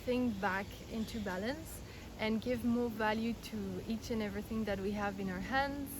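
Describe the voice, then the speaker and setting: A woman speaks in a fairly high voice, explaining things earnestly and step by step. She often restarts her sentences as she talks. The interview was recorded outdoors, with clear noise behind her. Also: moderate pace. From Belgium, raised in France. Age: 30s. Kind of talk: casual explanatory interview answers. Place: outdoors in India.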